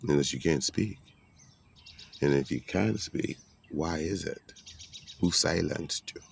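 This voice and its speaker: A man's voice: extremely low pitch, slow, gravelly, slight background noise. A man speaking in a rambling, preachy monologue, streetwise and casual, mixing everyday talk with biblical references. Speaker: vlogger giving a monologue.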